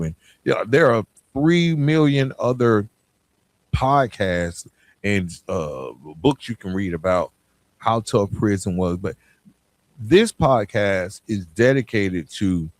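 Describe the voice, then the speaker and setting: Deep-voiced man hosting a motivational podcast. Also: no echo. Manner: earnest, emphatic, with frequent "right?" check-ins. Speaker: podcast host. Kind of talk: motivational solo podcast talk.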